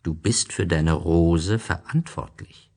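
Storybook fox, played by a man: wise and gentle, unhurried low delivery, earnest and solemn. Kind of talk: storybook character reading.

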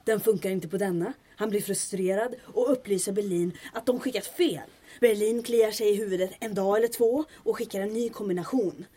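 Casting: actress for bossy female wartime resistance chief, husky mid-range, brisk and wry, audio drama. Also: medium pitch, warm tone, natural voice. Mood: plotting, confident.

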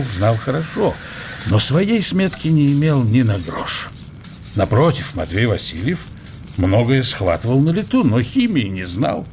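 A man narrating a literary radio drama in an expressive scripted reading. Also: mid-range voice, slight background noise.

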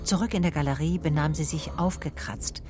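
A woman playing a composed private detective in a radio drama, reassuring and quietly persistent. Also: sits low, steady tone, fairly quick, over music, lightly acted. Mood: reflective. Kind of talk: radio drama narration and dialogue.

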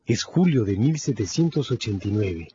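A man delivering dramatic scripted narration for a radio novel, solemn and emphatic, with deliberate phrasing. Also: on the low side, lively intonation, heavy background noise. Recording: dull lo-fi radio production, loud music.

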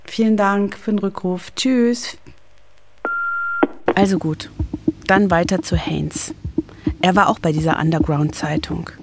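A woman's voice: lowish voice, steady tone, a little slow, pauses often, background noise. A woman in her forties delivering first-person narration for a radio documentary, as its author. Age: 40s. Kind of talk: first-person scripted narration with phone calls.

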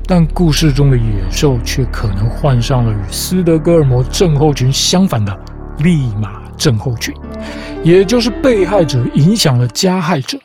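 A man hosting a podcast, calmly narrating a scripted explanation in a measured storytelling manner. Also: on the high side. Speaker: podcast host. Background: background music.

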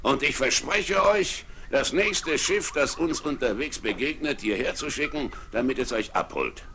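An actor playing a cunning, smooth-talking pirate captain in a 1970s radio play, recorded on old vinyl. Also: male voice, mid-range voice, animated, measured pace, pushed and bright, a little hiss or hum. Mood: scheming. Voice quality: smooth, persuasive, turning threatening.

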